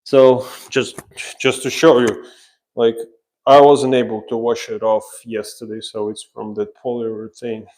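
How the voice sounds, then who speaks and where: A man's voice: medium pitch, level tone, darkish tone, poor audio. A man from Ukraine with a Ukrainian accent, giving casual live commentary and explaining as he works with tools. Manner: explains while working, pausing as he handles tools. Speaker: drywall installer, live stream host. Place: laundry room under renovation, indoors.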